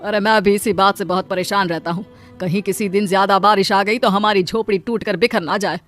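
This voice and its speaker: A woman's voice: mid-range voice, steady tone, a little fast, a bit bright, soft background music, natural voice. Woman doing a poor working man, earnest, pleading, protective, cartoon storybook. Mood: worried. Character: poor male laborer, worried, caring husband. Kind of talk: Hindi cartoon voice acting.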